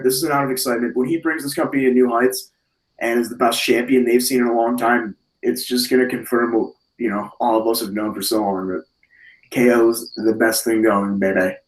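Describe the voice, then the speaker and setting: A man with a hoarse voice hosting a casual wrestling review, talking in an animated, rambling way with many fillers and restarts. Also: mid-range voice, fairly quick, reverberant, far from the mic, low-quality recording. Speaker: wrestling podcast host.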